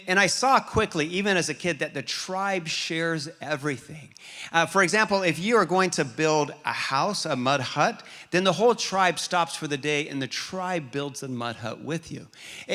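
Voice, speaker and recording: A man who grew up in Liberia as an American, preaching a storytelling sermon with frequent restarts. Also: on the high side. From grew up in Liberia, West Africa, as an American. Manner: storytelling, animated, with repeated restarts. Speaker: pastor.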